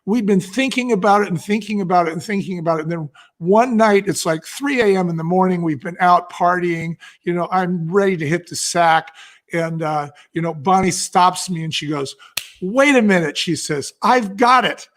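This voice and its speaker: An older man chatting casually as an interview guest, telling anecdotes in an animated way and acting out what people said. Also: high-pitched, normal speed. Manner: animated storyteller, acting out quotes. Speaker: guest, business co-founder. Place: indoors, live video call.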